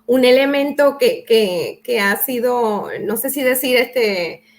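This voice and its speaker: A woman hosting an interview in a fairly high voice. She asks long, winding questions with frequent restarts and fillers. Her delivery sounds halting but friendly. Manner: hesitant, many restarts and fillers. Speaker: host. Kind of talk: conversational interview.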